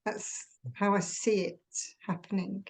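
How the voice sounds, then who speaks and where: A woman with a medium-pitched voice shares reflections as a student in a meditation session. She talks in a measured way, breaking her thoughts into short phrases. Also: level tone, vocal fry, a little mellow, rough recording. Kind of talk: reflective sharing in a group session.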